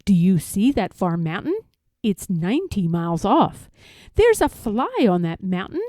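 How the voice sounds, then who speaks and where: Storybook rabbit, male, vain and snappy, lively intonation, measured boasts, performed by a woman. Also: medium pitch, no echo, clean studio sound. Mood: smug bragging. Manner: bragging, snappy, self-important. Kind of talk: storybook read-aloud character voice.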